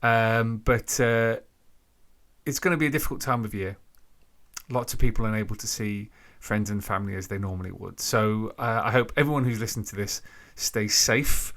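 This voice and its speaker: A man hosting a podcast, giving an informal introduction in a halting way, thinking aloud between phrases. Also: fairly low pitch, a bit bright. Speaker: podcast host.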